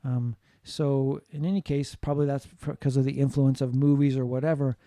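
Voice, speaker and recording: A man's voice: mid-range voice, level tone, normal speed, dark tone, no room sound, clean studio sound. A man from the United States giving a classroom lecture on phonetics, explaining steadily and often asking "right?" to check in with students. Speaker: English teacher.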